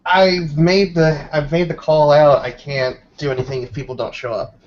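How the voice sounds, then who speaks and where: A man's voice: fairly high pitch, steady tone, normal speed, a little hiss or hum. A man playing a tabletop roleplaying game, narrating his character's actions in casual talk. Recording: dull, lo-fi voice recording.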